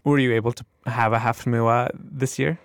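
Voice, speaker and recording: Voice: medium pitch, fairly even intonation, moderate pace, no echo, clean studio sound. A podcast host interviewing a guest, asking encouraging follow-up questions in a conversational way.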